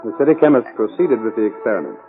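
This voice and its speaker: A man's voice: on the low side, lively intonation, racing. A man narrating a vintage radio drama from a script. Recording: tinny old radio recording with music.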